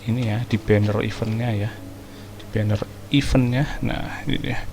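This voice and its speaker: A man's voice: on the low side, fairly even intonation, a little fast, vocal fry, a little mellow, slight background noise. A young Indonesian man giving casual, slangy gameplay commentary as a streamer. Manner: informal, slangy. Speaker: gaming streamer. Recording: game music behind the voice. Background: game music.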